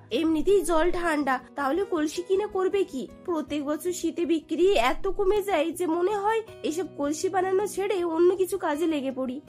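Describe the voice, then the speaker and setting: A woman puts on a poor village potter woman for a cartoon. The voice is very high and plaintive, full of worry about money. Music plays faintly behind.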